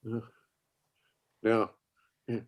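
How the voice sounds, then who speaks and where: A man playing a stubborn, musing inventor in his eighties, speaking haltingly in a play reading. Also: fairly low pitch, level tone. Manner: halting, repeating words, ruminative. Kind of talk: acted dialogue in a play reading.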